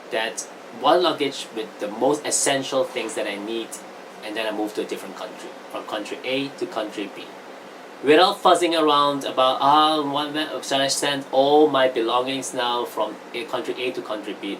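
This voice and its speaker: Guy explaining stuff like a teacher, really up and down intonation, halting emphatic phrases, slight background hum. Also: medium pitch, a bit bright, low-quality recording. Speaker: presenter of a self-improvement lesson. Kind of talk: informal explanatory teaching monologue.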